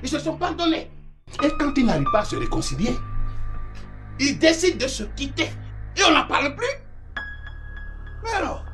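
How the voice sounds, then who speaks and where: A woman's voice: high voice, big pitch swings, normal speed, long pauses, music in the background. A woman playing an exasperated wife pressing someone to speak. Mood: reasoning. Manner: insistent, exasperated.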